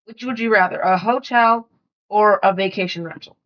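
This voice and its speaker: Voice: medium pitch, moderate pace, dull audio. A twelve-year-old child reading out questions and chatting casually on a family podcast. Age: twelve years old.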